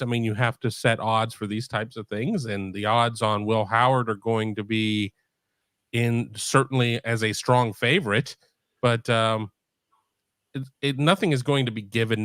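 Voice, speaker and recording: A man's voice: fairly low pitch, a bit bright, no room sound. A man calling into a sports talk show, earnest, repeating himself as he makes his point. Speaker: caller.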